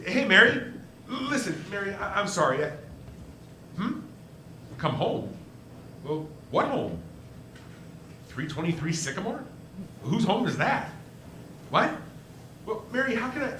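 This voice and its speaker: A highish, swooping male voice , acted by a man, for an earnest young husband in a radio play. He stammers and repeats himself, flustered and hot-headed. The room echoes around him. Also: sing-song, pushed and bright, full character voice. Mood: flustered, apologetic. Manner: stammering, halting, emphatic. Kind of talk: live 1940s-style radio play acting. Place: live stage performance. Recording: roomy stage sound, faint noise.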